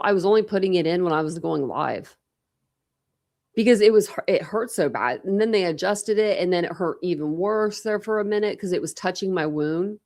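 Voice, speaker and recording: A woman's voice: mid-range voice, a little mellow. A woman in her forties hosting a casual true crime livestream, thinking aloud and rambling, with a slight lisp from a dental retainer. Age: 40s. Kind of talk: casual livestream chat with viewers.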